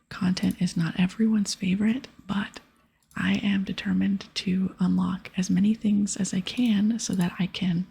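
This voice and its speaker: Female ASMR gaming host, very breathy whisper with some creak, gentle and chatty, close on the mic. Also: mid-range voice. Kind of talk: whispered ASMR gameplay commentary.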